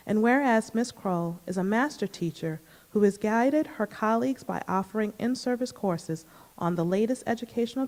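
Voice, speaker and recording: A woman with a soft-edged, dark voice at an average pitch reads a formal proclamation. She sounds like a school board official at a public meeting, her words ringing with long hall reverb.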